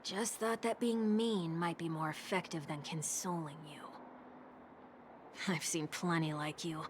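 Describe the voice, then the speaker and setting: A woman's voice: lowish voice, slight background noise, lightly acted. A woman voicing a small, teasing, sarcastic video game character with a theatrical, mischievous manner. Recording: background music under dialogue.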